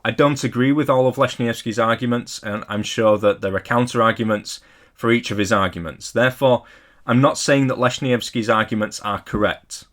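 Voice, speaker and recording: A man narrating a scripted lecture as an amateur hobbyist video host, in a measured, careful and modest explanatory manner. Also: mid-range voice, steady tone, fairly bright tone. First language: not Polish; English speaker.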